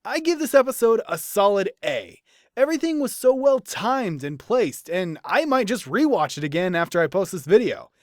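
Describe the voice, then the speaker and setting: A man giving an enthusiastic, animated scripted review as a cartoon episode commentator, with instrumental music behind him. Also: sits high, normal speed, dry recording, clean studio sound. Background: instrumental background music.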